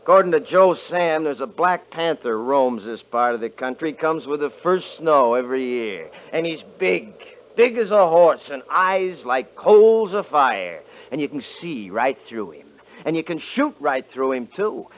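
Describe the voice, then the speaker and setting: A man's voice: a little high, lively intonation, unhurried, few pauses. An actor playing a cocky rancher who mocks and bullies his family in a 1950s radio drama. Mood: mocking storytelling. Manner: mocking, bullying, laughing at others. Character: cocky, taunting rancher brother Kurt. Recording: muffled vintage radio recording with faint hiss.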